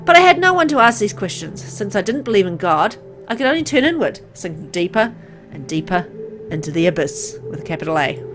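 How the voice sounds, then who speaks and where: A woman reading a horror visual novel aloud with expressive narration and casual asides to viewers. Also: mid-range voice, brisk, music in the background. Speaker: let's play reader.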